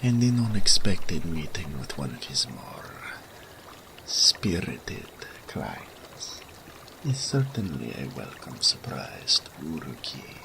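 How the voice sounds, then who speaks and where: A man playing a suave, flirtatious naga oracle in a roleplay audio drama, formal and languid, purring and sometimes breathy, speaking of himself in the third person. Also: rumbling low, slow, heavily acted. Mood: delighted, teasing. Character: suave, flirtatious male naga oracle, self-assured and teasing. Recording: steady water and ambience noise.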